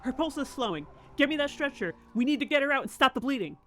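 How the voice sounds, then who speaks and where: Female actor as urgent paramedic: high voice, rapid clipped orders, audio drama. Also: animated, slight background noise. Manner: clipped, commanding. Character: brisk, urgent emergency medic.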